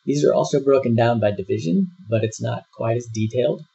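Husky, gravelly man walking through software. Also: mid-range voice, fairly quick. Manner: relaxed step-by-step walkthrough with frequent fillers. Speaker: software instructor. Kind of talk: screen-recorded software tutorial. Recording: dull lo-fi voice-over.

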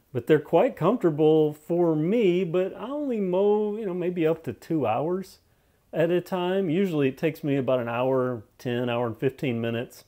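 A man's voice: fairly high pitch, warm tone. A man giving a casual, unscripted product review, thinking aloud and repeating phrases for emphasis in a conversational way.

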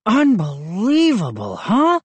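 A woman voicing an excitable boy who is the narrator's friend, loud and agitated. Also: mid-range voice, slow, slightly rough, no echo, pitched above the performer's own voice, heavily acted.

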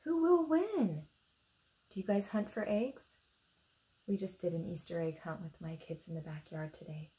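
A woman in her thirties with an American accent, reading a picture book aloud to young children expressively, pausing to ask them simple questions. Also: lowish voice, warm tone, low-quality recording. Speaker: storytime reader for children. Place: indoor room beside a book display.

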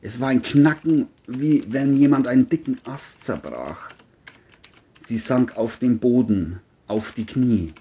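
Male crime-story narrator, dark mellow tone, slightly rough texture, scripted delivery. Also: medium pitch, unhurried.

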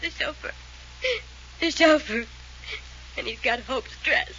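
Terrified, shrill, sobbing heiress. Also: female voice, slow pace, sharp tone, full character voice. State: crying. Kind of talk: old-time radio mystery acting. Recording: noisy old radio recording.

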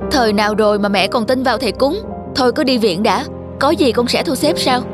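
A woman's voice: fairly high pitch, brisk, over music, close to an everyday voice. A woman voicing a dutiful, worried young village boy in a cartoon, speaking earnestly and politely. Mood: worried, insistent. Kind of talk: cartoon dubbing.

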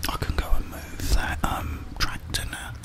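Whispering British man, slow and hushed. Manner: murmured reactions in short phrases. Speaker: gaming streamer. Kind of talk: whispered ASMR game commentary.

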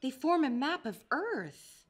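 A woman in her thirties reads a picture book to young children. Her voice is high and swings widely in pitch, and she takes her time over each line. She is playful and emphatic, adding chatty asides. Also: big pitch swings, slow pace. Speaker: children's storybook reader.

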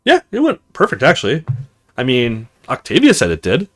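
Man reading as easygoing, animated young male student. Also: mid-range voice, a little fast. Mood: modest. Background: music.

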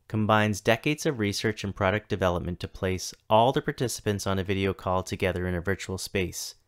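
A man in his thirties giving a scripted keynote as a school technology coordinator, reading at a measured, deliberate pace with pauses between items. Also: lowish voice. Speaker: keynote speaker, tech coordinator. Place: home or office, recorded for a virtual conference.

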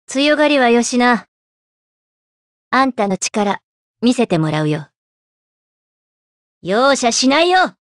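A woman's voice: on the high side, expressive, slow, pauses often. Youthful female fighting master, video game, blunt, confident, very varied intonation, echoey sound. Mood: cool, confident. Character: tough, cool young woman martial arts master in youthful form. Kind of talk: video game character voice lines. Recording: echoey, long reverb effect.

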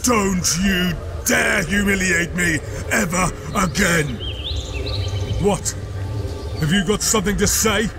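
A harsh, bullying stepfather from a small village, voiced by a man who barks and shouts. His voice runs fairly high, with music playing under him. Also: slow, pauses often. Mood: angry. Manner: angry, shouting.

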